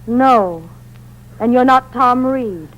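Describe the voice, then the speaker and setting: A woman's voice: fairly high pitch, lively intonation. A bold young woman in a radio drama. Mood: accusing. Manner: quick, accusing, cool under threat. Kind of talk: 1950s radio drama acting. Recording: dull old radio broadcast.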